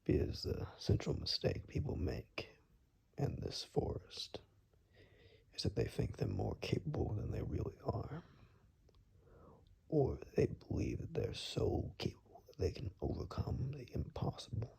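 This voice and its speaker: A man playing a gruff, protective forest dweller in an ASMR roleplay, speaking softly and huskily in a hesitant murmur, often whispering. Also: medium pitch, wildly swooping pitch, a little slow, full character voice. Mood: calm, reflective. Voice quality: soft, breathy, husky, often whispered.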